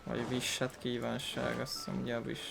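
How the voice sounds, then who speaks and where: A man's voice: mid-range voice, monotone, moderate pace, creaky, music in the background. A man from Hungary giving casual let's-play commentary as a gaming host, with informal mumbled asides.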